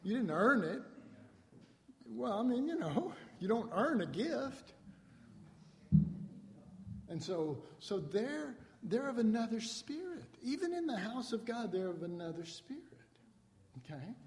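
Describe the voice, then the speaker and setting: A man's voice: extremely high pitch, big pitch swings, a little slow, pauses often, darkish tone, long reverb tail. A man preaching a sermon, reading scripture and explaining it in a conversational way, acting out quotes with rising emphasis.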